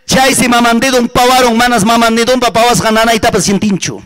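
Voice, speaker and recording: A male preacher with an Andean Spanish accent and a very high-pitched voice. He tells his sermon as a fervent, dramatic story. He repeats his phrases and turns to the congregation with rhetorical questions. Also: steady tone, fairly quick, fairly bright tone.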